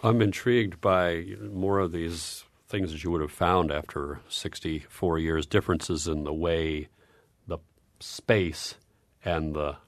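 A man's voice: sits low, fairly even intonation, slow pace, no echo. A man interviewing a guest, asking long, winding questions.

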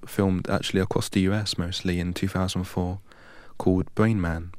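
A man from England, a guest in an interview, telling his life story in a measured, precise and earnest way. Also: deep voice, little pitch movement, darkish tone, no room sound. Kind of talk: reflective personal storytelling.

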